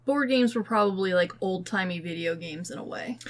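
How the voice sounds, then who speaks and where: A woman's voice: mid-range voice, fairly quick. A woman co-hosting a podcast in casual conversation, speaking in a blunt, opinionated way. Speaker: podcast co-host. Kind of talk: casual chat.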